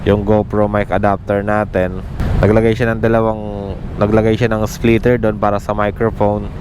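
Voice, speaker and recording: A Filipino man talking casually as a motovlogger, mixing English terms into his Tagalog in a loose monologue. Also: lowish voice. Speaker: motovlogger, channel host. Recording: clear traffic and vehicle noise. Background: vehicle and street noise.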